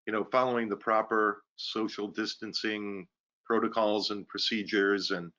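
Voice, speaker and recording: Male host, low voice with a bright, forceful edge, easygoing, muffled call audio. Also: flat delivery, moderate pace. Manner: easygoing, with fillers. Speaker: event host. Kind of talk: casual moderating.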